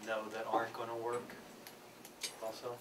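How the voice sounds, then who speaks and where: A man presenting a peace program at a conference, speaking haltingly with many fillers and restarts. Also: mid-range voice, monotone. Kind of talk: conference panel talk. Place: church conference hall. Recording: distant, noisy conference recording.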